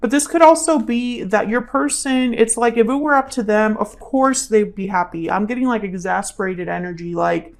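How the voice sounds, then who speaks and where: A woman reads tarot as a channel host, speaking at a medium pitch. She talks conversationally, thinking aloud as she interprets, and circles back to repeat questions and phrases. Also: moderate pace, hardly any pauses. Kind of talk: intuitive tarot reading, casual spoken commentary.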